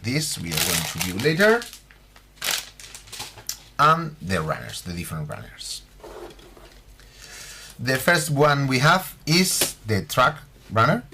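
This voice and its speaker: A man from Spain, speaking English with a Spanish accent, hosts a model-building tutorial in a halting way with many fillers. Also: medium pitch, very animated, creaky, background noise. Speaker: scale model hobby host. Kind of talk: unboxing tutorial.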